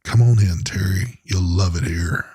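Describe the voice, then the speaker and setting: A man's voice: low voice, moderate pace, dark tone, breathy edge. A man, co-hosting a live online show in a loose, rambling way, cussing casually. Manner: laid-back, cussing, rambling. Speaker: co-host of a live vape show. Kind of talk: casual live-stream chat.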